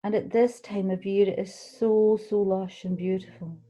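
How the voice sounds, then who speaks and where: A woman leading a guided relaxation meditation, speaking gently and soothingly, unhurried, with pauses between phrases. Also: mid-range voice, steady tone. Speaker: guided meditation leader, tai chi qigong teacher.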